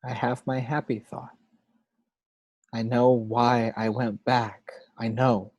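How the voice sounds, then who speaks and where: A man's voice: mid-range voice, level tone, slow, a little mellow, dry recording. A man playing an emotional grown father rediscovering boyish wonder, exclaiming in a table-read performance. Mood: moved. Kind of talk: amateur table-read acting.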